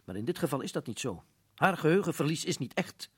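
A man's voice: on the high side, very animated, fast, fairly bright tone, breathy edge, no echo, studio quality, full character voice. A man playing a firm, matter-of-fact older prison governor in a radio play. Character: stern older male prison governor, a colonel.

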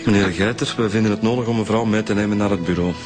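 Man acting matter-of-fact investigator, Flemish accent, fairly low voice, wry, radio drama, music behind. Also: steady tone, quick pace, natural voice. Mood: formal, probing. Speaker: actor playing a police detective.

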